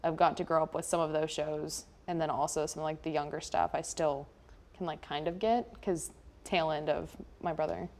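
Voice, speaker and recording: Young American woman, lowish voice, chatty. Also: fairly even intonation, normal speed, a little mellow. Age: young adult. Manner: chatty, informal, peppered with "like". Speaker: local TV station chat host. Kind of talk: casual unscripted chat.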